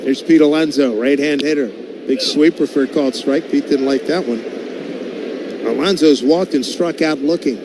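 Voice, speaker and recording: A man's voice: a little high, normal speed. A man doing live radio baseball play-by-play as the announcer, in colloquial, clipped phrases. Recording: loud ballpark background noise. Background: outdoor stadium ambience.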